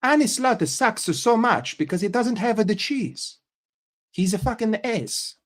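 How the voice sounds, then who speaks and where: A man putting on a mock Italian accent as a crude football pundit, trading slow, swaggering, vulgar insults. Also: high-pitched, lively intonation, voice pushed up, heavily acted.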